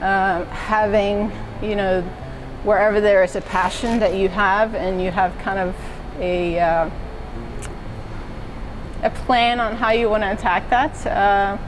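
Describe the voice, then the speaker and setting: A middle-aged woman from Norway, a university fitness and wellness director, answering interview questions in a relaxed, conversational way with frequent fillers. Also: mid-range voice, slow pace, background noise. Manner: conversational, many "uh" and "so" fillers, laughs lightly. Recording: music playing underneath.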